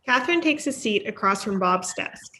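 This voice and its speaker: A woman narrates scene headings and stage directions from a script. Her voice is bright and crisp, mid-pitched for a woman. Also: animated, normal speed, a little hiss or hum.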